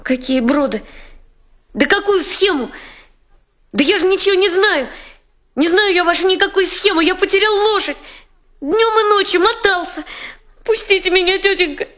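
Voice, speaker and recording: A woman's voice: extremely high pitch, moderate pace, pauses often, a bit bright. A woman voicing a stubborn, frightened village boy who talks in rustic speech, sullen and playing dumb. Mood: sullen evasive. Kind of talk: film drama acting.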